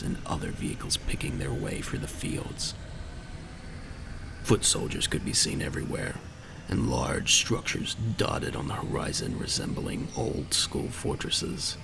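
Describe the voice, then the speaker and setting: A man narrating a scripted audio drama in a dramatic way, with a gravelly voice. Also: extremely low pitch, background noise.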